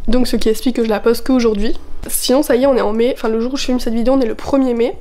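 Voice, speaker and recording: A woman's voice: a little high, steady tone, rapid-fire, mellow. A young woman in her twenties chatting in a casual vlog, her voice worn from a chest cold, upbeat and full of fillers. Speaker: vlogger. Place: at home, partly out on a terrace.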